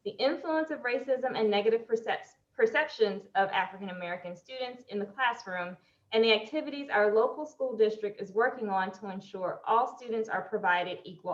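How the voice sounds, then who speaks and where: A woman's voice: mid-range voice, fairly bright tone, reverberant, lo-fi sound. A woman moderating an online panel discussion, introducing speakers and explaining procedure.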